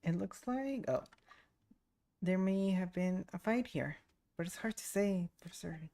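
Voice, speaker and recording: A woman's voice: on the low side, unhurried. A woman streaming a video game, giving casual, laid-back commentary and reading game text aloud. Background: soft game music.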